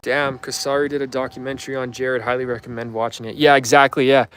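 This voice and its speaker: A man's voice: mid-range voice, flat delivery, quick pace, a bit bright, a little hiss or hum. A man in his twenties chatting casually on a livestream, answering viewer questions in a rambling, offhand way full of fillers. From Canada. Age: 20s. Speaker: livestream host.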